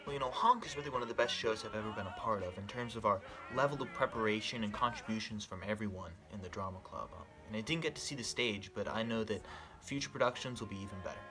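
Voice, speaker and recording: A man from the drama club talking in a quick, matter-of-fact way about the show. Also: lowish voice, over music. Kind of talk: casual recorded testimonial.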